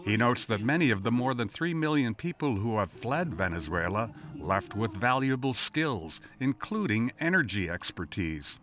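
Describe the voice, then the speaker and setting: A man's voice: mid-range voice, animated, normal speed, hardly any pauses, a bit bright. A man reporting international news in a scripted narration.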